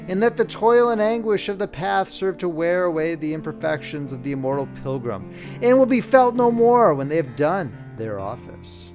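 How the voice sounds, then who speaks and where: A man's voice: a little high, natural voice. Man as a weary, grave older sister. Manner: heavy, grave, world-weary. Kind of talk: storybook character reading.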